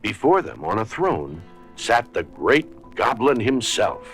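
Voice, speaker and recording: A man narrates a children's storybook with dramatic care. His voice is low, his pace slow and his intonation very lively, with soft music underneath. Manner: dramatic, deliberate.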